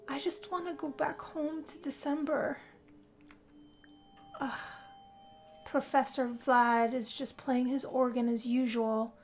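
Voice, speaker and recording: A woman's voice: sits high, darkish tone, a little hiss or hum. A woman playing a homesick, dramatic young female music student who complains theatrically in a game role-play narration. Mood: miserable, then irritated.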